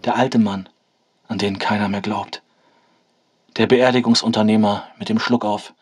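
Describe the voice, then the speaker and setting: Man acting solemn play announcer, low voice, radio drama narration. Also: fairly even intonation, moderate pace, background noise, natural voice. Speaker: narrator reading prologue and cast list.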